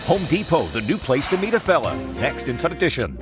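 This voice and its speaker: A man's voice: a little high, big pitch swings, brisk. A man announcing a local TV news promo in polished, scripted delivery. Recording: music bed under voice.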